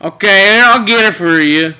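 A man's voice: sits high, measured pace, sharp tone, clean tone. A young man making a prank phone call in a casual, conversational way. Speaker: prank caller. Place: room at home.

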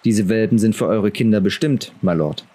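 A man's voice: lowish voice, moderate pace, dark tone, natural voice. Man acting a serious, formal lord's son. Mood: earnest, formal. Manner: formal, careful. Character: serious, respectful teenage bastard son of a lord.

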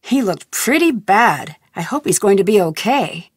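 An actress playing an inquisitive, persistent young woman detective in an adventure game, with clear, earnest, questioning delivery. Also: medium pitch, animated, measured pace, dry recording, studio quality. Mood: concerned. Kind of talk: scripted adventure game voice lines.

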